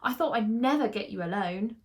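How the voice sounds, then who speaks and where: A woman's voice: medium pitch, expressive, fairly quick, close to an everyday voice. A woman voicing a cheeky, boastful male toy llama in a children's audiobook, with a chatty, slangy manner. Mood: exasperated relief.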